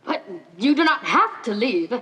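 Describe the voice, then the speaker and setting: High school girl as a victorian gentleman doctor, high voice, formal, bossy, stage acting. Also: very animated, unhurried, exaggerated voice. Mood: authoritative. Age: teenager. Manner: formal, instructing. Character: brisk, formal, controlling Victorian doctor, a man.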